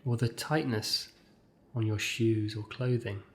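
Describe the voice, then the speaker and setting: A man leading a guided meditation as a scripted exercise, speaking in measured short phrases with gentle pauses. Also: on the low side, steady tone.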